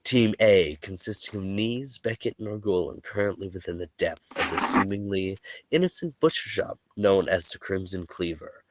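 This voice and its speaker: A man's voice: deep voice, expressive, moderate pace, slight background noise. A man running a tabletop game, sniffly from allergies and a little worn in the voice, chatting loosely and then reading a recap of the story so far. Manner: laid-back, joking, stumbles and restarts. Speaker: game master. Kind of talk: casual chat and recap narration for a tabletop game.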